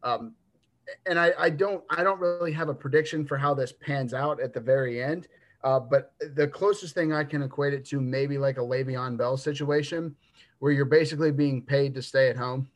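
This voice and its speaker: 20s guy on a sports podcast, mid-pitch voice, dry jokes, talks his takes through out loud. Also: moderate pace. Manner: dry humor, thinking aloud with restarts. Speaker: podcast co-host. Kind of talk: casual sports podcast chat.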